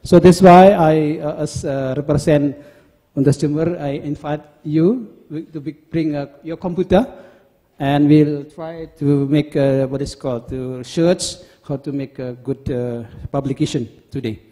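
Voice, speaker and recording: Brief: middle-aged host, dark mellow tone, a bit higher pitch, hesitant speech, echoing hall. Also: male voice, fairly even intonation. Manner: halting, hesitant English with many uhs. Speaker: event host. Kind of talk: introducing a guest speaker. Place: large lecture hall, seated at panel table. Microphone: handheld microphone. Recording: long hall reverb.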